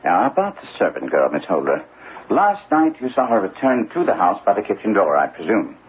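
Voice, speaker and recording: An actor playing a shrewd, self-assured gentleman detective in an old-time radio drama, precise, probing and courteously commanding. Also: on the low side, very animated, a little fast, phone-quality sound. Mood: probing, composed. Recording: thin, band-limited old radio recording, faint noise.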